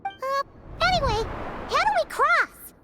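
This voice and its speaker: Squeaky, perky little fairy companion. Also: female voice, expressive, measured pace, pushed and bright, faint music behind, full character voice. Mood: inquiring. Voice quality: squeaky, piping. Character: tiny childlike floating fairy companion, perky and excitable. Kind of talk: video game voice acting.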